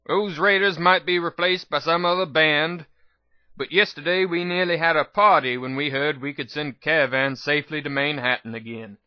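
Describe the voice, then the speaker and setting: A man voicing a folksy old wasteland doctor, pitched up. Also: moderate pace, no echo, muffled recording, close to an everyday voice. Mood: weary, earnest. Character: scrawny old male unicorn wasteland doctor, grimly amused, world-weary. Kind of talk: dramatic reading of fan fiction.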